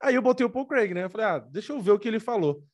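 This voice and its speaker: A Brazilian man chats as a channel host in a slangy, informal way. He talks extremely fast, with a fairly high voice for a man. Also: expressive, darkish tone. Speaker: online channel host and content maker. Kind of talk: casual chat.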